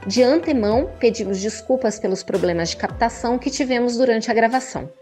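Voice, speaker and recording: A woman with a Brazilian Portuguese accent hosting a podcast, giving clear, measured introductions. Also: fairly high pitch, animated, darkish tone. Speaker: podcast host. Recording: roomy echo, faint music.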